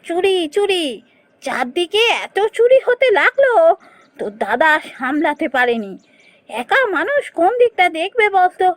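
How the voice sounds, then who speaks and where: A woman voicing a caring, earnest village woman in a fairy-tale cartoon, expressive and melodramatic. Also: very high-pitched, unhurried, no echo, heavily acted. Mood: regretful, earnest.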